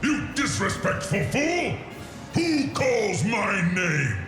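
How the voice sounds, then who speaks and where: Vengeful dragon for fantasy game: slow theatrical menace, big swings in pitch, echoing over music. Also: male voice, very animated, heavily acted. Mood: enraged. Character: vengeful proud male dragon, friend of a slain dragon. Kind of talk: dramatic fantasy game acting.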